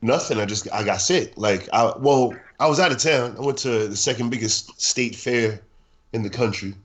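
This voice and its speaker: A man co-hosting a podcast while sick and congested, talking slowly with many hesitations. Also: mid-range voice. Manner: slow, hesitant, many uhs. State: congested with a cold. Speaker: podcast co-host. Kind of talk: casual podcast chat.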